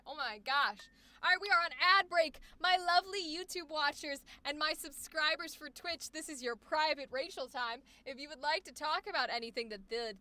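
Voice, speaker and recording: A woman coaching voice actors on a live stream, reacting with effusive exclamations and quick asides. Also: high-pitched, pushed and bright. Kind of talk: live stream commentary on student recordings.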